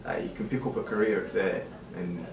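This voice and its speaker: A young man in his twenties with a British accent, talking casually as a podcast guest and repeating his points in a relaxed way. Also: sits low, fast, vocal fry, crowd noise. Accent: British English. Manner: laid-back, repeats himself, says "innit". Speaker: guest, cryotherapy practitioner. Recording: echoey room, mic at a distance, faint noise.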